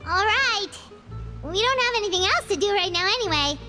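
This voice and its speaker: A woman's voice: expressive, fairly quick. A woman voicing a tiny floating girl companion in a video game, chirpy, greedy for food, and talking about herself by name. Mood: eager. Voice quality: squeaky, bouncy, speaks of herself by name. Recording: game audio with clear music underneath.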